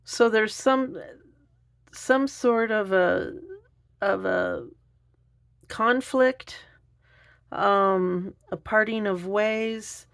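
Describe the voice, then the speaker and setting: The woman across the card table at a psychic's booth, feeling her way haltingly through a spread, slow and creaky-voiced. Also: mid-range voice. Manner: hesitant, halting delivery with musing pauses. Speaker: tarot reader. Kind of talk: unscripted card reading, thinking aloud.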